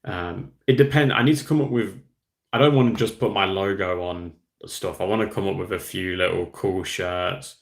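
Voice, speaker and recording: A low-voiced man talks loosely to his livestream viewers, rambling between ideas and reading out comments. His voice sits deep, with a gravelly, fry-laden edge. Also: fairly even intonation, moderate pace. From Australia. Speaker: hobby channel host. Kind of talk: casual livestream chat.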